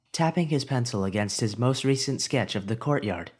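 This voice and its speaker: A man's voice: mid-range voice, unhurried, slightly rough. Man narrating a fan audiobook expressively. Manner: expressive reading that lifts for the hero's inner thoughts. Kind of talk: story narration.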